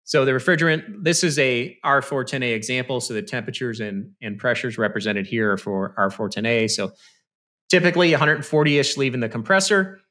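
A man in his thirties giving a relaxed, step-by-step technical presentation over slides, recorded on a studio microphone through a video call. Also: mid-range voice. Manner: conversational, explains step by step with frequent "you know" and "okay". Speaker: HVAC presenter. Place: small indoor room. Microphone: studio mic on stand with headphones, video call.

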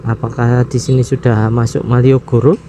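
A man's voice: medium pitch, level tone, mellow. A man, narrating his motorcycle ride casually, musing aloud and wondering where to go. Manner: unhurried, musing aloud, chuckling. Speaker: motovlog host. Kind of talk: casual narration while riding. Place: outdoors on a motorcycle in city traffic. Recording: street traffic noise behind voice. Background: traffic and engine noise.

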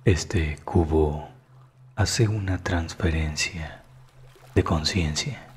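A man narrating a scripted guided meditation in a calm, soothing manner that is hushed at times. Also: very deep voice, very slow, slightly rough.

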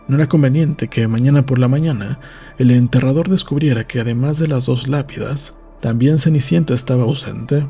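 A man reading a novel aloud as an audiobook narrator, in a calm, measured storytelling manner. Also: mid-range voice, gravelly.

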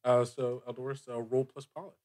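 A man speaking as game master, improvising narration and rulings, halting as he thinks aloud. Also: medium pitch, little pitch movement, darkish tone. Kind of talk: improvised tabletop game narration and casual table talk.

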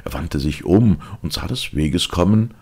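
A man narrating a children's audiobook in an expressive, theatrical storytelling style. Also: low voice, measured pace, gravelly, breathy edge.

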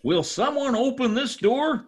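A man's voice: very high-pitched, lively intonation, unhurried, slight background noise. A man in his sixties playing a grandiose, melodramatic, blustering husband in an old-time radio comedy over a video call. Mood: indignant. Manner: theatrical, grandiose, overwrought. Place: home office, video call. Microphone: computer mic on video call.

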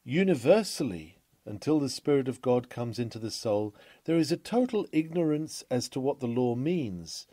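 A man reading a classic sermon from a script in a solemn, measured, oratorical manner with emphatic phrasing. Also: a little high, warm tone, no echo.

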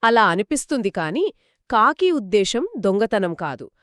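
Female storyteller for kids' tales, expressive co-host, dry close studio sound. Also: mid-range voice, moderate pace, no echo. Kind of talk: scripted children's storytelling in dialogue.

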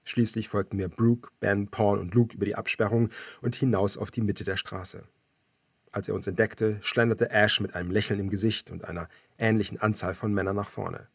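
A man reading scripted first-person thriller narration as an audiobook narrator, measured and dramatic. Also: fairly low pitch, fairly even intonation, vocal fry, husky, no echo, clean studio sound.

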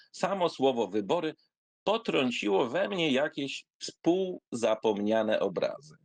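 A man giving a theatrical reading of a literary memoir, in an expressive, wry, conversational storytelling manner. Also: mid-range voice, no room sound. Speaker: narrator reading a literary essay aloud.

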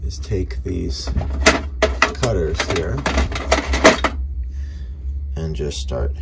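A man giving casual hands-on repair commentary as a hobbyist, thinking aloud unhurriedly in short, halting phrases. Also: low-pitched, lively intonation, creaky, very noisy. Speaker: hobbyist radio repairer, video host. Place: indoor workbench.

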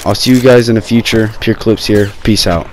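A young man with a sore throat, talking low and rambling casually over gameplay footage on his gaming channel. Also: fairly even intonation, fairly quick, slight background noise. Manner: rambling, many fillers and restarts.

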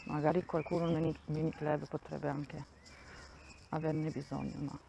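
A woman's voice: sits low, little pitch movement, normal speed, creaky, background noise. A woman giving a casual walking tour of a campground, thinking aloud and often restarting her sentences, recorded on a handheld phone outdoors. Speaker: vlogger touring a campsite.

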